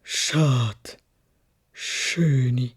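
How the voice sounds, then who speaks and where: Woman voicing a slow, deep, sorrowful beast. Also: slightly rough, exaggerated voice. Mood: deeply sad. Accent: Swiss German. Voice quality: soft, gentle. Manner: slow, halting, melancholy. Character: gentle, sorrowful, ugly male beast, lord of a castle. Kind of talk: storybook fairy-tale character voice.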